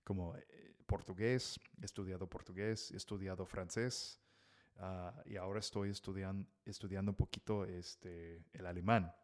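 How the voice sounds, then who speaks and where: A man's voice: sits low, fairly even intonation, moderate pace, a little mellow. A male podcast host talking casually to language learners, with lots of fillers, restarts and Mexican slang. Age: adult, married. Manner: chatty, full of fillers and self-corrections. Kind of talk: casual solo podcast talk.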